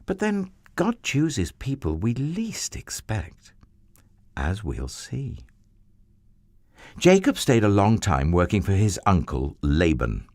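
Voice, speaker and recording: A man telling a children's Bible story in an expressive, theatrical way with drawn-out emphasis. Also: fairly low pitch, no echo.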